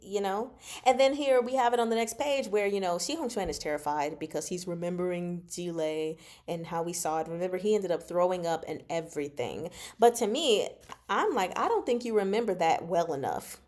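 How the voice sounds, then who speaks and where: A woman's voice: fairly low pitch, brisk, a little mellow. A woman hosting a livestream book discussion, talking in a casual, slangy, emphatic and teasing way, reacting expressively as she goes. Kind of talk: casual chatty book commentary with live chat.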